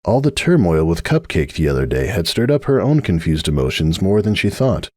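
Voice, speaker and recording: Expressive male story narrator, dry recording. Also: low-pitched, brisk, dry recording. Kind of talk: scripted dramatic story narration.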